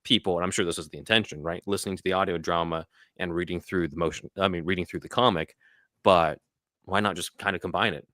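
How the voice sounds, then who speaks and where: A man's voice: deep voice, steady tone, fast talker, bright, forceful tone, no room sound, clean studio sound. A man speaking as an interview guest, a writer and voice actor chatting casually about his work.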